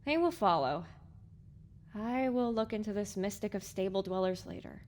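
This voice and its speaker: A woman playing a spirited, earnest young mare heroine with an expressive, wry delivery in an audiobook drama. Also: medium pitch, normal speed, natural voice.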